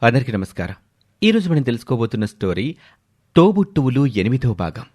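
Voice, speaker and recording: A man's voice: mid-range voice, sing-song, brisk, darkish tone. A man narrating a scripted story as a storyteller, over background music.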